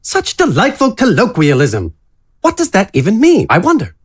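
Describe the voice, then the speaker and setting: A man plays a pompous, theatrical gentleman of a fantasy audio drama. He is florid and grandiose, his voice lifted high and swinging freely. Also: huge pitch swings, normal speed, breathy edge, full character voice. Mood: mock delight.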